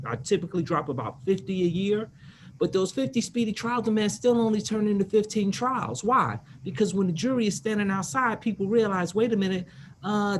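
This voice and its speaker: Middle-aged lawyer guy arguing on a panel, blunt and slangy, voice on the high side, bit of background noise. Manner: emphatic, blunt, colloquial. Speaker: defense attorney on a panel. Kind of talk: spontaneous, argumentative panel talk. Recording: noisy background ambience.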